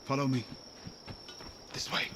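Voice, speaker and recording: An actor playing a wary, protective shepherd guide in a video game, with a wry, urgent, low-key way of instructing. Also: male voice, a little slow, noisy background, lightly acted. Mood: hushed, urgent.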